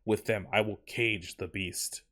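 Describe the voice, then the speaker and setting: A man performs a tormented veteran turned obsessed inventor in a horror tale. The voice sits fairly low. The delivery is solemn and dramatic, like a confession written in a journal. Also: steady tone, measured pace, fairly bright tone. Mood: troubled, urgent. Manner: dramatic, solemn first-person reading.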